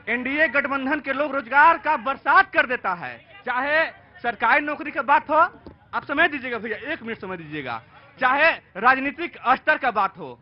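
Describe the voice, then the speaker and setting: A man giving an emphatic, campaign-style political pitch on the street. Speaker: party supporter. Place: outdoor street. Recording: dull outdoor audio.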